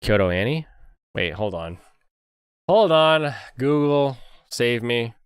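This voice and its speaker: A man hosting an anime review stream, chatting casually and rambling, with a jokey, animated manner. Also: medium pitch, slow pace, clear, resonant tone, dry recording.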